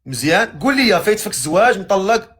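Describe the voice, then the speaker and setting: A man with a Moroccan accent hosting a matchmaking live stream, teasing guests with quick-fire questions. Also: high-pitched, sing-song, a bit bright, slightly rough.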